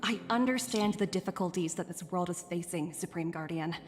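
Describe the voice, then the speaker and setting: A woman's voice: on the low side, music in the background, close to an everyday voice. Woman playing a businesslike official, heavily reverberant. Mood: measured, firm. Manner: measured, diplomatic, articulate. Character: formal, businesslike female official negotiating firmly. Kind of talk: English game voice acting. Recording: long reverberant hall effect.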